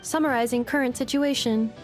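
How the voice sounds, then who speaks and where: A woman's voice: fairly high pitch, brisk, soft background music, natural voice. A woman voicing a formal young analyst in a video game, reporting in precise, detached, robotic phrasing.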